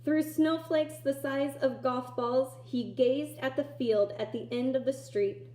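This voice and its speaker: A woman reading a children's picture book aloud in an expressive storytelling style. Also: sits high, measured pace. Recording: echoey room.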